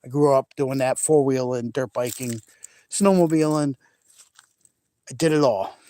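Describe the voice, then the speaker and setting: A man's voice: medium pitch, measured pace, mellow. A middle-aged man from Maine with a faint Maine accent, chatting casually with viewers outdoors by a lake and rambling with easy laughs. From Maine, New England. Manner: chatty, rambling, laughs easily, frequent restarts. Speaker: vlogger. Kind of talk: casual live chat with viewers. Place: outdoors by a large lake at sunset. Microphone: phone camera held by hand.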